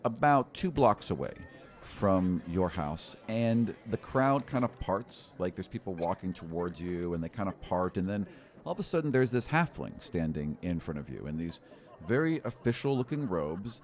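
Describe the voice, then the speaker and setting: Game master, male: lively, varied intonation, slightly low voice, casual improvised scene-setting into a close studio mic. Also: normal speed. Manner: casual, descriptive, gesturing. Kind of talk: improvised tabletop game narration. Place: small indoor studio room. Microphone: close podcast microphone.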